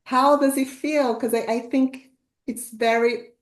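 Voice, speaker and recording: A woman's voice: high voice, fairly even intonation, a little slow, roomy sound. A woman hosting an online interview, chatty and eager, often laughing between questions. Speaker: host.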